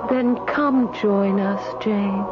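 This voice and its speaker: An actress playing a calm, insistent female spirit companion in a radio drama, urging in a matter-of-fact way. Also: mid-range voice, slow, lightly acted. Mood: coaxing. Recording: noisy, dull old radio broadcast.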